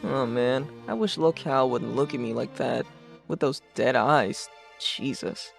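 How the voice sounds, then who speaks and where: Man acting sarcastic teenage boy, casual sweary slang, medium pitch with creaky edges, secretly uneasy, webcomic dub. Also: unhurried. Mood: rattled. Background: music.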